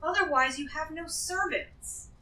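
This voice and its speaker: Woman playing a high-pitched, chatty, greedy treasure-hoarder. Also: a little slow, bright, forceful tone. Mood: reasoning, persuasive. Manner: rambling, hesitant, emphatic. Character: chatty, greedy female treasure-hoarder, shrewd and self-justifying. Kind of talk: improvised tabletop fantasy role-play acting. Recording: echoey room, distant from the mic.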